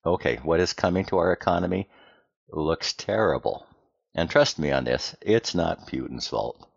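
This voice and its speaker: An American man delivering a scripted, explanatory podcast monologue in a plain-spoken way, with deliberate emphasis and rhetorical questions. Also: low-pitched, expressive, vocal fry, slightly rough, no echo, muffled recording. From United States. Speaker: podcast host.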